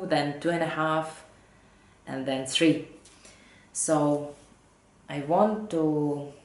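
Low-voiced woman speaking English as a second language. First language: not English, not Danish. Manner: halting, searching for words in a second language. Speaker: knitting podcast host. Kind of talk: casual chat to camera. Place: living room at home, indoors. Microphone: camera or laptop mic at desk distance. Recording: roomy home echo.

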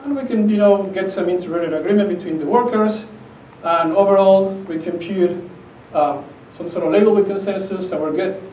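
A man's voice: sits high, normal speed, few pauses, mellow, slight background noise. Argentine man lecturing in an echoey hall. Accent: Argentine Spanish. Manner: emphatic, conversational, often checks with "okay?". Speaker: conference speaker. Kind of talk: academic conference talk. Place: conference room with projector screen. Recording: echoey conference room, captured from a distance.

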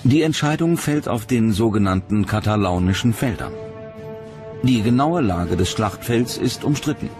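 Low-pitched male documentary narrator over music. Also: lively intonation, normal speed. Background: music under the narration.

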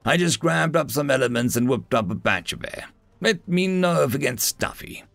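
A man voicing a gruff, weary, crude ape-man god in a narrated fantasy story, grumbling and sardonic with a growling edge. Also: medium pitch, expressive, moderate pace, fairly bright tone, no room sound, soft background music, voice pushed up. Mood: matter-of-fact.

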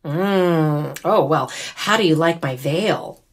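A woman's voice: sits low, slow pace. A woman playing a wry, wistful young American bride in a naturalistic audio drama. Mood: wry, chatty.

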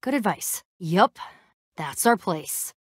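A woman voicing a brash, excitable young female adventurer in a video game, slangy and a bit awkward as she tries to sound cool. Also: medium pitch, slow, husky, no room sound, studio quality. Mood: eager, nervous. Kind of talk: animated game dialogue acting.

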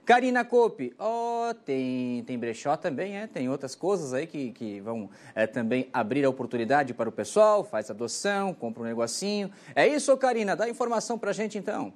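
Brazilian male anchor in studio, relaxed conversational handoff, slightly raised pitch. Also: moderate pace, few pauses. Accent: Brazilian Portuguese. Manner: loose, chatty hand-off. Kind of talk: TV news anchoring.

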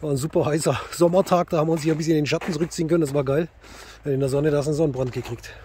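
Middle-aged male vlogger, slightly high voice, casual hobbyist chat, faint background noise. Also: steady tone, racing, warm tone. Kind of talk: casual vlog chat and radio calls. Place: inside a parked car and outdoors in snowy forest.

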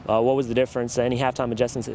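A man asks post-match questions as a sports interviewer. He talks fast in a mid-pitched voice. He is recorded outdoors, with faint noise in the background. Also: little pitch movement, a little mellow. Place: outdoors on a soccer field.

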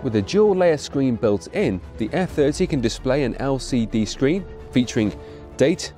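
A man's voice: mid-range voice, dark tone. Man reading a product voice-over. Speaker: product video narrator. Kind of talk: scripted promotional voice-over. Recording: voice-over over music bed. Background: background music.

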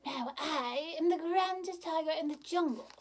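A woman's voice: very high voice, moderate pace, pitched above the performer's own voice. A woman voicing a hungry, boastful male tiger in a children's storybook. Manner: pompous, boastful.

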